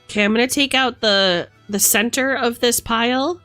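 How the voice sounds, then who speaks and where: A woman's voice: on the high side, normal speed, pushed and bright, faint music behind. A woman livestreamer chatting casually and affectionately, thinking aloud in a playful way as she reads tarot cards.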